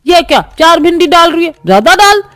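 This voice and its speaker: A woman's voice: extremely high pitch, very animated, brisk, a bit bright, dry recording, full character voice. A woman voicing a bossy, nagging old grandmother-in-law with rustic phrasing in a cartoon story. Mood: scolding, bossy. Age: older. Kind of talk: acted Hindi moral-story cartoon.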